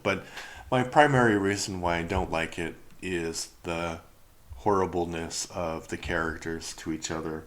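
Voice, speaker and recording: A middle-aged man from Canada with a Canadian accent, chatting casually and rambling through a solo review podcast, often laughing and trailing off. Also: on the low side. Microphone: podcast microphone.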